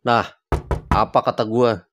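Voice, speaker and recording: A man's voice: medium pitch, steady tone, slow, music in the background, close to an everyday voice. Casting: male actor for unbothered, teasing young roommate, cartoon dialogue, average male register. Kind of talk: cartoon dialogue, casual slang.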